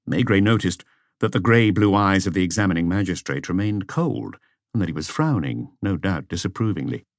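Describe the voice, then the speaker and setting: A man's voice: low-pitched, lively intonation, a little fast, no echo, clean studio sound. A man reading a mystery novel as scripted audiobook narration.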